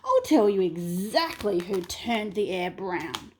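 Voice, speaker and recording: A woman's voice: medium pitch, sing-song, slow pace, darkish tone, slight background noise, poor audio. A woman voicing a small male numbat who keeps trying to be heard. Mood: eager.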